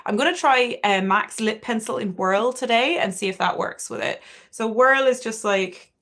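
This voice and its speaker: A woman's voice: a little high, moderate pace, fairly bright tone. A young woman with a Cork Irish accent, chatting candidly and swearing freely while doing her makeup on a livestream. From Ireland, Cork. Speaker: beauty livestreamer. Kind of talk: casual makeup livestream chat.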